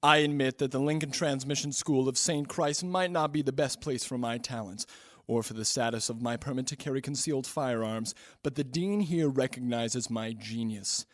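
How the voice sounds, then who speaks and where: A man's voice: mid-range voice, moderate pace, natural voice. A man acting a pompous, reckless physics professor in a comedic radio drama, lecturing grandiosely. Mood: condescending, boastful.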